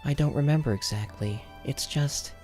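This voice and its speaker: A man voicing a bashful young woman in an audiobook, speaking softly and haltingly. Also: medium pitch, faint music behind, voice pushed up, natural voice. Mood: shy, uncertain. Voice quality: soft, unobtrusive. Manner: halting, uncertain. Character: soft-spoken, hesitant, bashful young woman.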